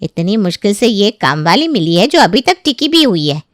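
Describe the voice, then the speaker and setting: A woman's voice: mid-range voice, very animated, normal speed, no echo, clean studio sound, lightly acted. A woman voicing a pragmatic, persuasive mother-in-law in a dramatised moral story. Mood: exasperated. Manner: soothing, persuasive.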